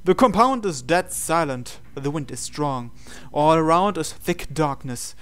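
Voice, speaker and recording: A man with a somewhat high voice narrates a visual novel playthrough. He reads the story text dramatically and adds his own commentary as host. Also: expressive, a little slow, a bit bright, clean tone. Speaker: host reading a game aloud.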